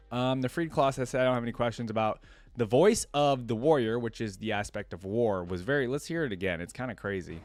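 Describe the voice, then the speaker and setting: A man's voice: fairly low pitch, no echo. A man hosting a reaction video, commenting casually on game voice lines and musing aloud with frequent questions.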